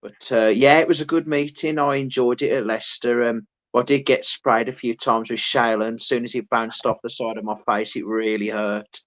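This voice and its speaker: A man co-hosts a casual podcast, telling long, rambling stories full of restarts. His mid-pitched voice stays flat and even, with little rise and fall. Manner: rambling, many restarts, unhurried. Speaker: podcast co-host. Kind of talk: casual chat.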